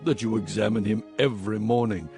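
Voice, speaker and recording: A man's voice: on the low side, fairly even intonation, brisk, a little mellow, lightly acted. An actor playing a despairing, suffering man who laments in solemn anguish in a dramatized scripture reading. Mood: weary despair. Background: music.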